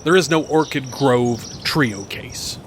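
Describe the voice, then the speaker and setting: Actor as slow-talking, pompous belligerent male ex-reporter. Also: medium pitch, close to an everyday voice. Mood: dismissive. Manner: dismissive, pompous, insulting. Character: crass, belligerent right-wing ex-TV reporter, a man, golfing. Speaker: former roving news reporter. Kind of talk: acted podcast drama dialogue. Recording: noisy outdoor ambience with insects.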